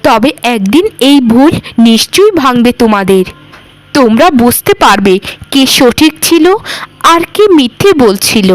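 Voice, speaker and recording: A woman's voice: on the high side, expressive, unhurried, dry recording, low-quality recording. A woman playing a wronged young village wife in a cartoon, pleading and sorrowful. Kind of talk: cartoon voice acting, melodrama.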